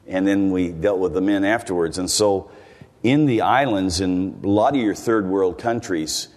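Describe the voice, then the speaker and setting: A man's voice: sits low, normal speed, darkish tone. An older American man preaching to a congregation in a folksy, rambling way, turning to the listeners with asides. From United States. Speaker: visiting preacher. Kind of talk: sermon. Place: church hall. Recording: long hall reverb.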